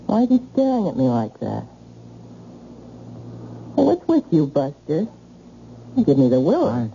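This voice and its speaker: Sassy slangy call girl, pitch all over the place, muffled old radio show. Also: wildly swooping pitch, unhurried, creaky, a little hiss or hum, exaggerated voice. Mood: irritated, pushy. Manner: slangy, brassy, sharp-tongued. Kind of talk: old-time radio drama acting.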